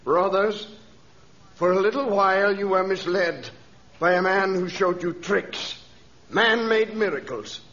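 High-voiced, slow, devout missionary. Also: male voice, a bit bright. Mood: solemn preaching. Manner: solemn, measured sermon delivery. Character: gentle, devout missionary reverend preaching to villagers. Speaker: missionary preacher. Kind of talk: 1930s radio drama acting. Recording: dull old radio transcription.

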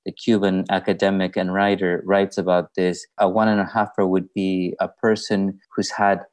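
A man's voice: low-pitched, flat delivery, normal speed, a little mellow, no echo. A man from Peru with Spanish as his first language, answering as an interview guest in a thoughtful, reflective way with small restarts. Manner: reflective, with frequent "you know" and small restarts. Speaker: guest writer and professor.

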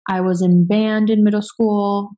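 A woman in her thirties, born in Venezuela, telling her story as a podcast guest in chatty, quick run-on sentences with many fillers. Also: medium pitch, little pitch movement, mellow. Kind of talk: casual conversational interview.

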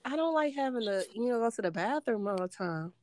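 A woman's voice: on the high side, lively intonation, a little fast, a little mellow. A woman co-hosting a podcast in a casual chat, slightly nasal as she gets over a sinus infection, laid-back and full of slang. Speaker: podcast co-host.

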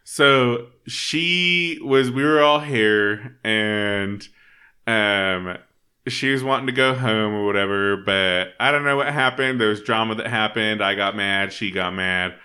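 A man's voice: on the low side, slow, bright, forceful tone. A young man telling a rambling story in casual chat with friends, laughing often. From Utah.

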